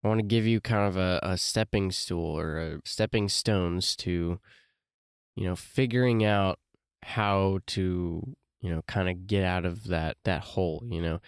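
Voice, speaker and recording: A man's voice: sits low, a little slow, clear, resonant tone, no echo, clean studio sound. A man hosting a casual solo podcast, talking loosely with many fillers and restarts, his voice a bit congested from allergies. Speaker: podcast host.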